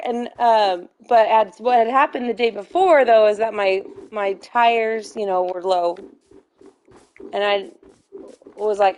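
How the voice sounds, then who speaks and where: Slightly hoarse woman rambling through a story. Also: mid-range voice, a little mellow, a little hiss or hum. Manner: rambling, self-correcting, laughs often. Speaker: streamer. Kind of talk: casual storytelling while gaming.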